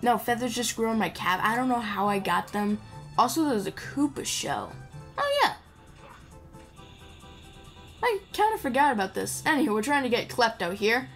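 A woman's voice: fairly high pitch, animated, fairly quick. A woman giving casual gameplay commentary, rambling playfully and chatting to the characters on screen. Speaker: gamer playing and commenting. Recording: game music behind the voice. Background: video game music.

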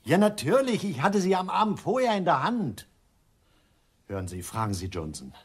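A man's voice: a little high, sing-song, moderate pace, husky, full character voice. A male actor playing an insistent, defensive criminal suspect in a German radio crime play, protesting in clipped phrases. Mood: urgently insistent.

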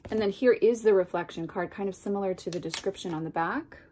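A woman's voice: medium pitch, quick pace, a little hiss or hum. Congested woman gushing over oracle cards. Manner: gushing and chatty, drifting into asides and soft whispers. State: congested from a cold. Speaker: tarot and oracle deck reviewer. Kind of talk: casual unboxing chat to camera. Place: home room lined with bookshelves, indoors. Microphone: camera in front at desk distance.

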